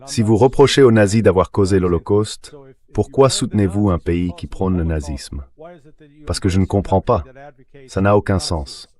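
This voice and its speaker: A man's voice: deep voice, moderate pace, a little mellow. A man's synthetic AI dubbing voice delivering argumentative, emphatic political commentary as an interview guest. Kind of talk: dubbed voice-over of political commentary.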